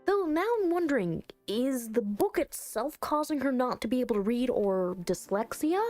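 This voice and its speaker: A woman's voice: fairly high pitch, expressive, normal speed, darkish tone, soft background music. A woman giving wry, chatty running commentary as she plays a story game. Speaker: streamer reading a visual novel aloud. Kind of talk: playthrough commentary with reactions.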